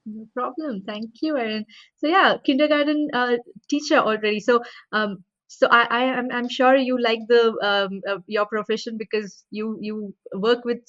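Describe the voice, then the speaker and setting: A woman's voice: fairly high pitch, steady tone, moderate pace, fairly bright tone, no echo. Hesitant woman hosting an interview. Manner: hesitant, many fillers and restarts. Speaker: host. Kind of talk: casual video interview. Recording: dull dry lo-fi sound.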